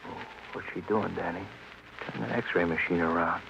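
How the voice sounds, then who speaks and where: A muffled old radio recording with faint noise holds a deferential police sidekick. His voice is deep and creaky. He talks hesitantly, as if a step behind, in 1950s crime drama style. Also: moderate pace, a bit bright. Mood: puzzled. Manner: hesitant, puzzled. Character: plain, slightly slow, deferential male police sidekick.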